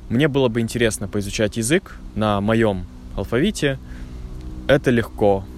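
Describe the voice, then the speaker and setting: A man talking casually to language learners while outdoors in a forest, speaking clearly and unhurriedly. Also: fairly low pitch, normal speed, a little mellow, slight background noise. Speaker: language teacher vlogger. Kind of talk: casual vlog talk to learners.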